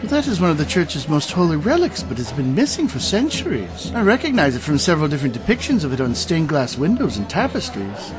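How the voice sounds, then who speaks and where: An actor playing a bookish, pedantic scholar in a fantasy audio drama, speaking in a hesitant, stammering way. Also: male voice, on the high side, wildly swooping pitch, brisk, hardly any pauses, over music, low-quality recording. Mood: eager, learned. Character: bookish, fussy male scholar of church relics.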